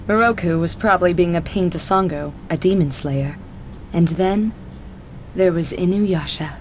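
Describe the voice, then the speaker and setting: A woman's voice: fairly low pitch, normal speed, a little mellow, slight background noise. A woman narrator reading a scripted story as part of an audio drama.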